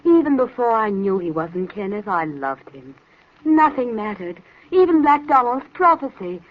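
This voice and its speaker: A woman's voice: a little high, sing-song, normal speed. An actress playing a defiant young noblewoman in love, impassioned and declamatory, in old radio drama. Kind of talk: 1940s radio acting. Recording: muffled old radio recording.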